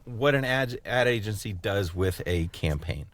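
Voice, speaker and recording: Low-voiced man hosting a casual chat. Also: animated, a bit bright. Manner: halting, repeats words while forming questions. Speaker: podcast co-host, voice actor.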